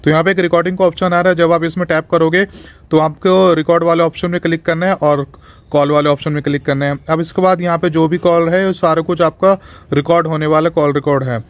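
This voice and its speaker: A man with a somewhat high-pitched voice presents a tech tutorial. He explains things step by step in an instructional manner, often repeating a step before moving on. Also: fairly even intonation, quick pace, no echo. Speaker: tech tutorial channel host. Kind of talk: explanatory phone tutorial narration.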